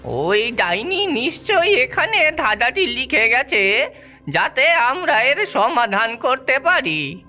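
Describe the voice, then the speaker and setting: A woman's voice: fairly high pitch, bright, forceful tone, full character voice. A woman voicing a wise, hopeful villager in a cartoon, explaining earnestly and with care.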